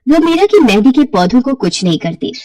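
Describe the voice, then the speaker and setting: A woman's voice: sits high, sing-song, a little fast, warm tone, far from the mic, a little hiss or hum. A woman narrating scripted moral tales for cartoons in a storytelling style.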